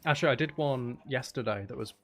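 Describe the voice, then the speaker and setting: Guy streaming a game and talking to chat, gravelly fry in his voice, kinda rambly, mutters to himself. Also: medium pitch, fast. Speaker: streamer and audio creator chatting with viewers. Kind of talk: casual livestream gameplay chat.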